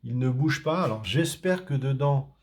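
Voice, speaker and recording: A man's voice: medium pitch, lively intonation, normal speed, a little mellow. A man from France doing a casual unboxing, talking hesitantly with many fillers and restarts. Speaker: tech reviewer.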